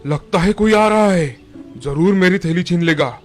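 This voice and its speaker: A man voicing a sly, greedy village shepherd in an animated moral story, in a theatrical manner. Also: sits high, moderate pace, soft background music, natural voice. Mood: scheming.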